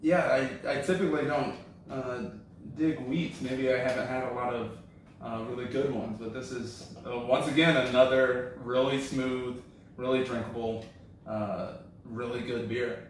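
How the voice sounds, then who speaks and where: A relaxed, chatty man hosts a casual beer tasting and fills his talk with ums and uhs. His voice sits at an ordinary pitch. It comes from some distance off the mic, in a very echoey room with a faint hum behind it. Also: measured pace. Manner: relaxed, chatty, many fillers. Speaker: comedy show host. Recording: roomy echo, from a distance.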